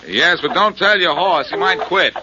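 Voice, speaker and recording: An actor playing a self-assured, wisecracking detective hero in a 1940s radio drama, breezy and dry. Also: male voice, high voice, expressive, moderate pace, background noise. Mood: amused. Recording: muffled 1940s radio recording with music.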